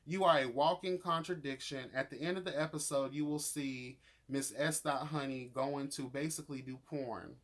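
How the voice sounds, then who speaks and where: A man's voice: mid-range voice, fairly even intonation, normal speed, hardly any pauses, a bit bright. A man giving casual, profane YouTube review commentary in a sassy, emphatic, slangy way, addressing people as honey and girl. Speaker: YouTuber reviewing a reality show.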